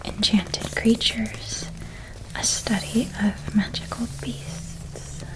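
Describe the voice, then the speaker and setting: A woman voicing a gentle, doting witch parent in a soft, breathy whispered ASMR roleplay, tender and softly enunciated. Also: medium pitch, fairly even intonation, takes it slowly, natural voice, rough recording. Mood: tender, nostalgic. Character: gentle doting witch parent helping a child pack for school.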